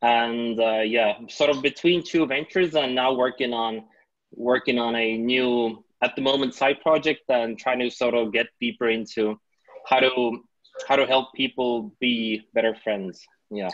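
A man's voice: medium pitch, steady tone, measured pace. Hesitant man on dull call audio. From Estonia. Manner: hesitant, repeats words. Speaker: participant. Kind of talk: self-introduction.

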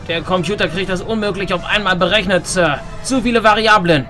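Man playing an eager, unsure teenage boy ruler, raised pitch. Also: fairly quick, bright, forceful tone, faint music behind. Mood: urgent. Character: fifteen-year-old boy ruler, unsure but eager.

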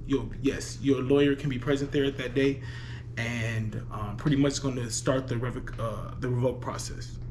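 Male prank caller, mid-pitched, polite bureaucratic delivery, halting pauses, faint background noise. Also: fairly even intonation. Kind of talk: prank phone call posing as a parole officer.

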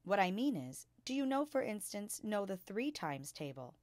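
A woman voicing a kindly, earnest young schoolteacher from a children's storybook, speaking in a clear, emphatic, instructing way. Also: medium pitch, big pitch swings, moderate pace, a little mellow, dry recording, clean studio sound, close to an everyday voice. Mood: curious, probing. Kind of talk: children's storybook read-aloud.

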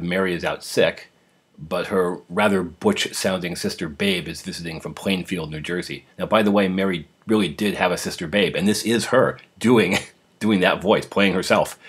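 A man with a husky voice, introducing old radio programs as the host. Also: sits low.

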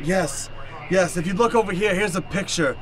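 A man's voice: sits high, moderate pace, background noise, lightly acted. A man playing a blustering, eccentric older professor in an improvised radio comedy, theatrical, pompous and scolding. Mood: smug, insulting. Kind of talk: improvised old-time radio comedy acting.

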